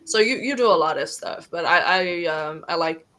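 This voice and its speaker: A woman's voice: fairly low pitch, moderate pace, pushed and bright. A woman hosting an interview podcast, asking questions in a friendly, chatty way. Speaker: podcast host.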